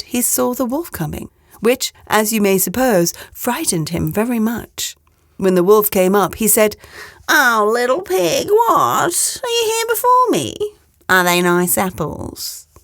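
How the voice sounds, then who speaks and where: Female children's read-aloud narrator, mid-pitched, very varied intonation, storybook delivery. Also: a little mellow, dry recording, clean studio sound. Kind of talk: expressive scripted storybook narration.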